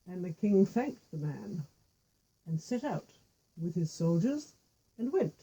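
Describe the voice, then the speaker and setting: A woman's voice: lowish voice, very animated, slow, dark tone, breathy edge, low-quality recording. A woman telling a folk tale informally, with repetition and little spoken asides. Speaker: storyteller with puppet figures.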